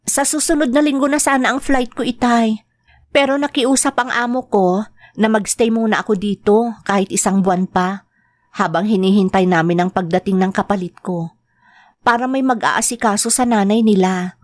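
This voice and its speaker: A woman playing a grieving mother working abroad, speaking with slow, heartfelt dramatic delivery. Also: mid-range voice, fairly even intonation, no room sound, natural voice. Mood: earnest. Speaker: overseas domestic worker. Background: faint guitar music.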